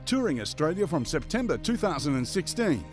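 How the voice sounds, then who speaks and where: A man's voice: a little high, big pitch swings, brisk. A man narrating a scripted promo as a dramatic announcer, with music underneath.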